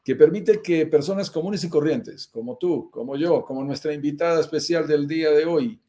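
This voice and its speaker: A man's voice: fairly high pitch, moderate pace, mellow. A man with a Colombian accent who presents a conversational live show in a courteous, explanatory manner. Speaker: host and commercial director of a real estate investment business.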